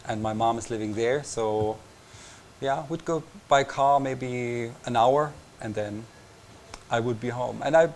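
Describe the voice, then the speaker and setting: A young Austrian man, a native German speaker, talks in English at an even, mid pitch. He pauses often and searches for words with lots of fillers. There is a faint hum of room ambience behind him. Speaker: visiting reporter, guest. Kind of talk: casual interview. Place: tv station room.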